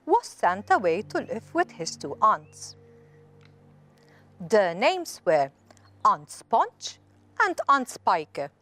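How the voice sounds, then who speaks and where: Woman reading a children's story, lively intonation. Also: medium pitch. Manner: clear deliberate storytelling with expressive emphasis. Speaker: storybook reader. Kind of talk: children's story narration, read aloud.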